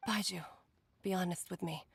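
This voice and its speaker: Poised, shrewd game heroine. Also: female voice, on the low side, steady tone, creaky, husky, dry recording, exaggerated voice. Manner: measured, articulate. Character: poised, shrewd adult woman, old acquaintance, knowing. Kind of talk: game voice acting. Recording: game audio with music behind.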